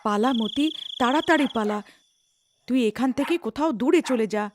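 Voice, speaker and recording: A woman's voice: high-pitched, moderate pace, background noise, close to an everyday voice. A woman voicing a frightened captive village wife in a cartoon, pleading and emotional. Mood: worried, urging. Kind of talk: cartoon character acting.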